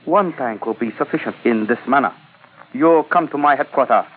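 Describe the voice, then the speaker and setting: An actor playing a greedy, coldly menacing Chinese army colonel in an old radio drama, with a put-on Chinese accent and stilted, mock-courteous broken English. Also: male voice, medium pitch, normal speed, slight background noise, lightly acted. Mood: mock-courteous, shrewd. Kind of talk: 1940s-50s radio drama acting. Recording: tinny old radio recording.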